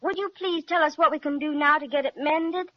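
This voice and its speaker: A woman's voice: sits high, fairly quick, close to an everyday voice. A female performer playing an earnest, polite little American girl in a 1930s children's radio serial. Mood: pleading. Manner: earnest, wide-eyed, polite. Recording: muffled vintage 1930s radio recording.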